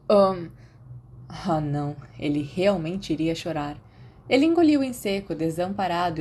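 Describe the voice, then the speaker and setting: A woman's voice: lowish voice, warm tone. A woman with a Brazilian accent reading scripted fiction as an audiobook narrator. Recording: faint background noise.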